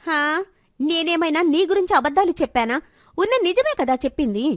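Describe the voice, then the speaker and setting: A high-pitched village wife, acted by a woman storyteller, bitter and sharp-tongued. She throws scornful questions and complaints in a storybook drama, recorded dry and close. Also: expressive, fairly quick, dry recording. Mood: scornful, angry. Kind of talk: dramatized Telugu moral story.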